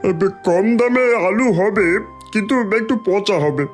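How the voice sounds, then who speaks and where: A man's voice: sits high, expressive, moderate pace, faint music behind. A man voicing a shifty market vendor with hesitant openers in a cartoon story. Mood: evasive. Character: shifty market vendor selling cheap rotten goods. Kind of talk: cartoon moral-story voice acting.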